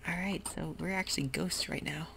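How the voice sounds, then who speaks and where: Low whispery woman, gravelly, noisy. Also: steady tone, fairly bright tone. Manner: whispery, unhurried, with frequent ums. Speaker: ASMR gaming creator. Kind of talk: soft-spoken ASMR gameplay commentary. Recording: noisy home recording.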